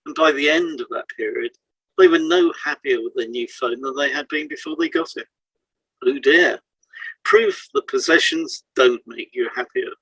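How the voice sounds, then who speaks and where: A man's voice: medium pitch, big pitch swings, normal speed, a bit bright, slightly rough, no room sound, low-quality recording. A man reading a scripted educational piece aloud as a podcast co-host.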